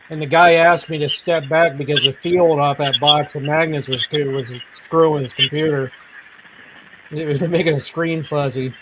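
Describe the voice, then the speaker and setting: Rambling podcast host, chuckling. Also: male voice, a little high, a bit bright, highs cut off, a little hiss or hum. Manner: rambling, laughs often, repeats himself. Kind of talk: casual chat.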